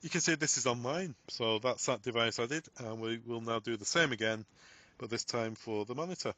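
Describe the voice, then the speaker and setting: A man presenting a software tutorial, giving step-by-step instructions in a voice-over. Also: mid-range voice, a little fast. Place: voice-over on a screen recording.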